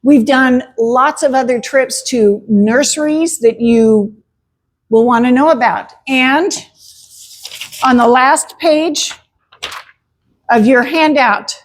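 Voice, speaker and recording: A woman presents a gardening talk in a chatty, emphatic way. She speaks slowly and unhurriedly, her voice sitting a little high, and laughs often between points. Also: slight background noise.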